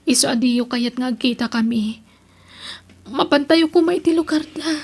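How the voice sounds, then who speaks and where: A woman's voice: high voice, normal speed, slightly rough. A woman with an Ilocano accent playing an earnest, pleading teenage daughter who longs for acceptance in a radio drama.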